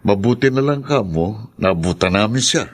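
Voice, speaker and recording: An actor playing a concerned, married younger brother in a Filipino radio drama. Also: male voice, lowish voice, animated, normal speed. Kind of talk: Tagalog radio drama acting.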